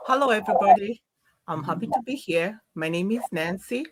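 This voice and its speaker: A woman nurse and business owner giving a quick, quiet introduction as a guest. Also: mid-range voice, animated, noisy background. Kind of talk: brief self-introduction.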